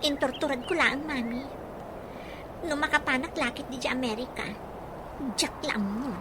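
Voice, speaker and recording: A woman's voice: high voice, moderate pace, noisy background, natural voice. An actress playing an ambitious, scheming young woman in radio drama style, with an Ilocano accent. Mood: calculating.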